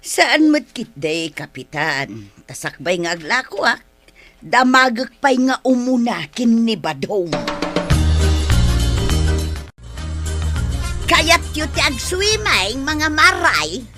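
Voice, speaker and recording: A woman playing an animated village livestock raiser in a comedy drama, with an Ilocano accent and exclamatory, drawn-out interjections. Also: fairly high pitch, music in the background. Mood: eager. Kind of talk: comedic barangay radio drama acting.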